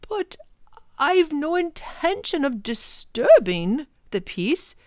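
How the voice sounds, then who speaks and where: A woman voicing a polite, easygoing male professor in a storybook, quietly reasoning and determined. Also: high voice, sing-song, slow pace, no echo, clean studio sound, pitched above the performer's own voice, full character voice. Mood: earnest.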